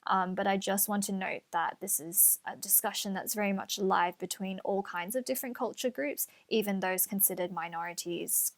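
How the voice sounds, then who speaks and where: A woman's voice: medium pitch, moderate pace, few pauses. Young woman, careful podcast co-host. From Australia. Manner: careful, thoughtful, hedging with fillers. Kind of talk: casual podcast discussion.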